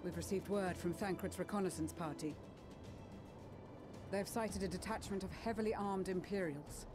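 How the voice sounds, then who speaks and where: Composed military commander, acted, formal measured delivery, medium pitch, fantasy game briefing, musical backing. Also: music in the background, natural voice. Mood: composed, resolute. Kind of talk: scripted game dialogue.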